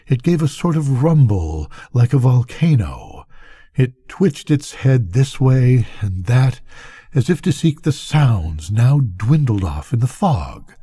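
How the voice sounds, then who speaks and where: An older man reading a bedtime story aloud as a storyteller, with deliberate, dramatic phrasing. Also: mid-range voice, dark tone, breathy edge.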